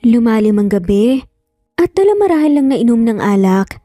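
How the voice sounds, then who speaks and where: A woman's voice: on the high side, animated, normal speed, mellow, dry recording, studio quality, faint music behind. A Filipino woman narrating a scripted romance story.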